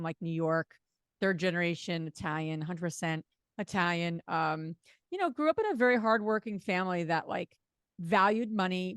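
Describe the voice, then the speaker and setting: A middle-aged woman from New Jersey with a slight Jersey accent, talking fast and chatty as an interview guest. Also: fairly low pitch, no room sound, clean studio sound. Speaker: guest, real estate investor. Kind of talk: casual interview talk.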